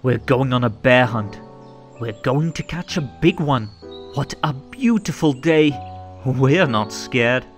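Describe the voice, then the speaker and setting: A man reading a children's story aloud in a theatrical, rhythmic and expressive way. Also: medium pitch, slow. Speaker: storybook narrator. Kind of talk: animated children's read-aloud. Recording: music under the voice. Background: music.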